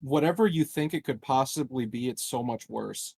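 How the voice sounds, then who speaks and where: A man's voice: medium pitch, normal speed, gravelly, a little mellow, slightly rough, no echo, clean studio sound. A man playing a tabletop game, chatting casually in a jokey, rambling way. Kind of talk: casual joking chat.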